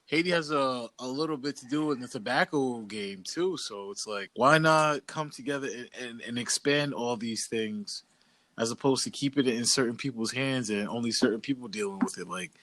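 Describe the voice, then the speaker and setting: A man's voice: mid-range voice, normal speed, fairly bright tone, no echo. A young man from Boston, co-hosting a podcast and telling stories in a loose, slangy, casual way. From Boston, USA. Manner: laid-back, loose, slangy storytelling. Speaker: podcast co-host. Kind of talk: casual chat.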